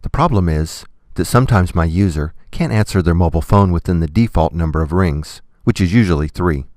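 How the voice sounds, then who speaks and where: A man giving scripted, step-by-step software tutorial narration in a methodical, instructional manner. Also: sits low, animated, fairly quick, no echo, clean studio sound.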